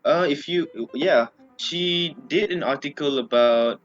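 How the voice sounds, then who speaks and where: A man's voice: on the high side, a little slow, dull audio. A young man with a Malaysian English accent, co-hosting a casual podcast chat and laughing often. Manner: giggly, laughs often between lines. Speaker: podcast co-host.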